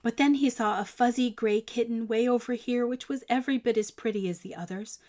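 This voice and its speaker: Female picture-book narrator, higher register, expressive and emphatic for young listeners. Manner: expressive, emphatic, deliberate phrasing for children. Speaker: storyteller. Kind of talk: picture book read-aloud.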